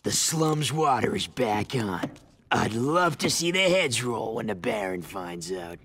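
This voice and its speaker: An actor playing a gruff male rebel leader in a video game, who gives orders in a curt, scornful way. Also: mid-range voice, very animated, unhurried, fairly bright tone, no room sound, full character voice. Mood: commanding. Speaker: game character.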